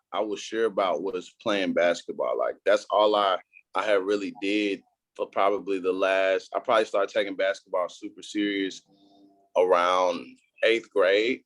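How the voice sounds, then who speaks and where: A man's voice: deep voice, a bit bright, dry recording. A young man from Houston, talking casually as a podcast guest in a laid-back, slangy way. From Houston, Texas. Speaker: guest entrepreneur.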